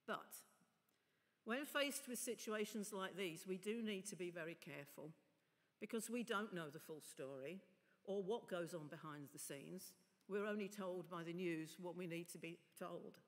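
A middle-aged woman preaching a chatty, informal sermon from a lectern, her voice husky from a cough. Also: fairly low pitch, normal speed. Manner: chatty, informal asides to the congregation. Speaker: lay preacher. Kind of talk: sermon with prayer. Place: large stone church, indoors. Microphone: lectern mic. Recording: long church reverb.

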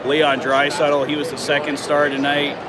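A man's voice: on the high side, completely flat delivery, normal speed. A man, casually commenting on a game as a fan, picked up by a companion's phone in a busy arena entrance. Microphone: phone held by companion, slightly off. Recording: very loud urban crowd and traffic noise.

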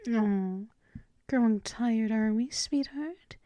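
A woman voicing a seductive, dominant vampire mistress in a breathy, teasing, mostly whispered ASMR roleplay. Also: fairly high pitch, warm tone, no echo. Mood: coaxing, seductive. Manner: breathy, slow, teasing, coaxing. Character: seductive, dominant vampire mistress doting on her pet thrall.

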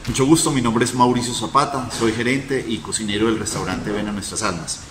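A man's voice: lowish voice, fairly even intonation, a little fast, music in the background. A man, a cook and manager, steadily describing his restaurant. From Colombia. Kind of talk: promotional self-introduction.

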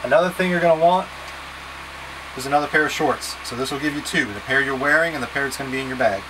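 Male trip leader, thirties, mid-pitched, practical instructional delivery, casual and direct, noticeable background hum. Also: quick pace. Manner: plain-spoken, step-by-step, casually directive. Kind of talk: instructional packing talk to camera. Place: small wood-panelled boat cabin, indoors. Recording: steady background hum and noise.

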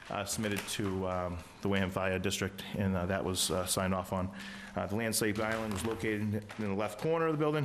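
A middle-aged man, presenting site plans to a town planning board, halting often with uhs and ums, speaking into a table microphone in a meeting room. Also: on the low side, slight background noise. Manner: halting, many uhs and ums, reads plan details.

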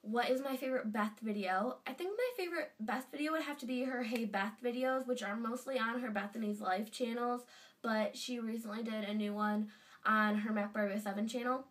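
A woman's voice: fairly high pitch, brisk, fairly bright tone, recorded from a distance. A teenage girl with a stuffy nose from a cold, gushing in a casual fan vlog from her bedroom. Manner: chatty and gushing, lots of fillers. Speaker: vlogger. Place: bedroom indoors. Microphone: webcam at desk distance.

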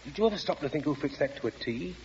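An actor playing a mild middle-aged husband, gentle and placating, in 1940s radio style on an old recording. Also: male voice, a little high, animated, rapid-fire, muffled recording, a little hiss or hum, lightly acted. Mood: musing.

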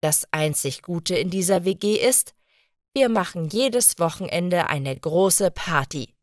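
A woman reading as a slow-speaking young female student. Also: fairly low pitch, animated, no echo, studio quality. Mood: plain, measured. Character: 24-year-old female student introducing her shared-flat life. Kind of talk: scripted first-person monologue for learners.

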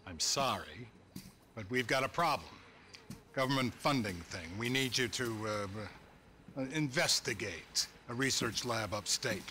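An actor playing a shady, matter-of-fact government agent with an American accent, giving a briefing in a video game. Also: male voice, mid-range voice, expressive, measured pace, pushed and bright, slight background noise. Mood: businesslike. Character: shady male government agent handing out jobs.

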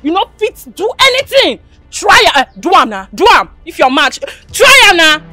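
An actress voices a hot-tempered young Nigerian woman whose very high, bright voice leaps around as she scolds. She shouts and mocks in a scornful, Pidgin-laced way. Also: very animated, normal speed, faint music behind, full character voice. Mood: angry. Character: fiery young woman named Amaka, loud and insulting. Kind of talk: Nollywood-style drama acting.

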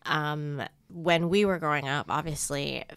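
Low, gravelly woman talking about films. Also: fairly even intonation, a little slow, fairly bright tone, no room sound, studio quality. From Thailand. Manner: rambling, hesitant, with many fillers. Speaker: podcast guest, comedian. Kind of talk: casual interview chat.

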